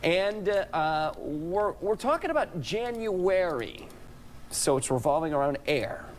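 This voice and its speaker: A man's voice: high-pitched, very animated, unhurried, a little hiss or hum. A man hosting a casual broadcast interview, affable, with dry joking. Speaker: TV morning show host.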